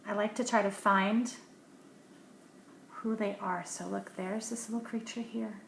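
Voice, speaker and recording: Slow, gentle woman reading aloud. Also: mid-range voice, steady tone, gravelly. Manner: gentle hushed asides about the pictures between livelier read lines. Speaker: storybook reader. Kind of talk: reading a picture book aloud to children. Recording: roomy echo, faint background hiss.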